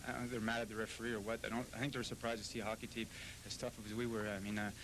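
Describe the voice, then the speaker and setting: A man's voice: lowish voice, little pitch movement, racing. A man from a hockey team giving a casual postgame interview. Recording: noisy 1990s TV interview tape.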